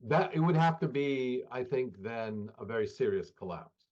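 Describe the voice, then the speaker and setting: A man giving spontaneous political analysis as an interview guest, halting and deliberate. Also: mid-range voice, lively intonation, darkish tone, muffled recording.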